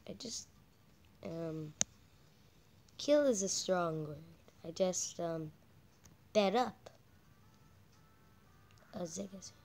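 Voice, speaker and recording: A woman doing casual, halting game commentary as a channel host, her voice croaky from a cold. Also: lowish voice, expressive, darkish tone, a little hiss or hum. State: croaky with a cold, coughing and throat-clearing.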